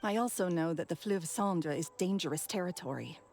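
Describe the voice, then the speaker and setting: An actress voices a guarded, bitter woman in a game, with a slightly low voice and faint music behind her. She sounds tired and suspicious. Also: fairly quick, a little mellow, close to an everyday voice. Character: wary, embittered café woman.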